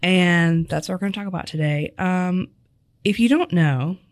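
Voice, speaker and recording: A woman hosting a casual interview podcast, chatty and upbeat, her voice slightly hoarse. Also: lowish voice, moderate pace, no room sound, studio quality. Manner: chatty, upbeat, jokey asides. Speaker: podcast host.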